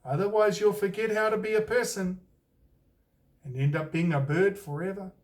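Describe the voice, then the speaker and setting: A man's voice: sits high, steady tone, moderate pace, close to an everyday voice. A man with a New Zealand accent voicing a solemn, cautioning wise man in a storybook, earnest and insistent. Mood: grave warning.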